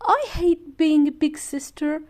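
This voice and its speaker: A woman's voice: high-pitched, lively intonation, a little slow, a little mellow, voice pushed up. A woman voicing a jealous, stubborn little girl, an emphatic big sister in a children's storybook. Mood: angry, sulky.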